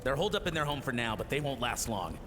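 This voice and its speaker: A man's voice: medium pitch, big pitch swings, fast talker, natural voice. Man as a ruthless, dutiful soldier, reverberant. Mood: callous, assured. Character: dutiful, ruthless soldier reporting to his commander. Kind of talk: video game voice acting. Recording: long reverb, clear background music.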